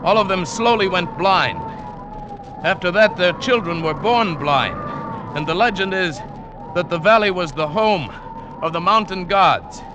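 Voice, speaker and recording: An actor playing a grave, superstitious mountain guide in an old radio drama, speaking formally with music playing behind him. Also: male voice, high voice, animated, a little slow, noisy background. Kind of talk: 1940s radio acting.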